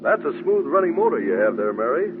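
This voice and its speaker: A man's voice: on the high side, sing-song, fast. An actor playing a friendly, inquisitive man in a 1940s radio skit. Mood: friendly, curious. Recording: noisy, tinny old radio.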